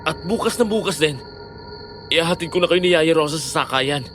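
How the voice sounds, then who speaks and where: A man's voice: a little high, expressive, a little fast, fairly bright tone. An actor playing a protective, determined young boyfriend in an audio horror drama, firm in his manner. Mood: angry resolve. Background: music.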